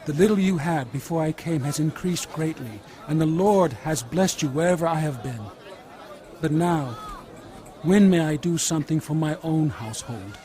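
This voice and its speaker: An actor playing an earnest, determined shepherd patriarch in an audio Bible drama. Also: male voice, fairly high pitch, normal speed, dark tone, a faint crowd, lightly acted. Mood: earnest, insistent. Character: hardworking, determined shepherd patriarch Jacob, bargaining with his father-in-law.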